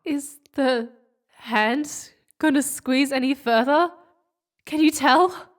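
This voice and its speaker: A woman's voice: high-pitched, takes it slowly. An actress playing a worried young woman in an audio drama, tense and full of urgent questions. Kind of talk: scripted audio drama acting.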